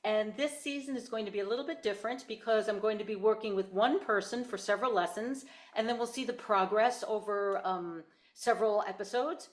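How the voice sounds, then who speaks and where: A woman's voice: mid-range voice, brisk, few pauses. A woman hosting a podcast as a voice teacher, introducing and questioning her guest in a chatty, conversational way. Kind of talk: podcast interview.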